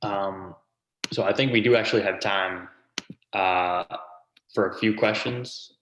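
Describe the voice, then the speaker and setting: Deep flat-voiced male moderator. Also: measured pace, echoey room, slight background noise, poor audio. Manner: hesitant, filler-heavy. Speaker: webinar moderator. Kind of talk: moderating a panel. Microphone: video call.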